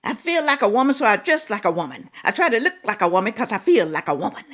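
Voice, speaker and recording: A woman hosting a reaction video, talking casually, scolding and animated with drawn-out exclamations. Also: medium pitch.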